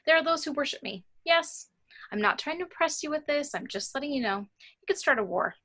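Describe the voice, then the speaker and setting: A woman plays a female captive in a sci-fi script. Her voice is high and bright, and she sounds pleading but haughty, sure of her own importance. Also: lively intonation, fairly quick. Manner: pleading, boastful.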